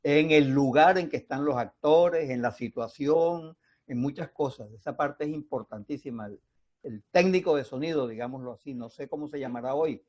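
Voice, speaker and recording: A man's voice: medium pitch, normal speed, darkish tone. An older man talks like a seasoned radio hand. He stresses points by repeating them, in a casual panel setting with slightly dull sound. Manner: emphatic, repeats key phrases. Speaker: veteran radio drama practitioner, panelist.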